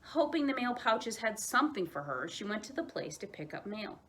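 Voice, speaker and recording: A woman telling children's stories aloud as a library storyteller, expressive, with dramatic emphasis and pauses. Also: mid-range voice, slight background noise.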